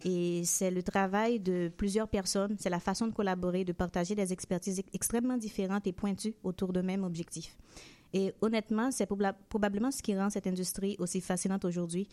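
A woman's voice: medium pitch, fairly even intonation, fairly quick, warm tone. A woman giving articulate, prepared-sounding answers as a guest in a panel interview. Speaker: interview guest, aerospace industry representative.

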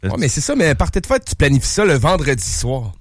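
A man's voice: a little high, big pitch swings, fast, dry recording, studio quality. A man in his late thirties with a Québécois French accent, chatting casually and colloquially as a co-host. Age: late 30s. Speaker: radio co-host. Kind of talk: casual chat.

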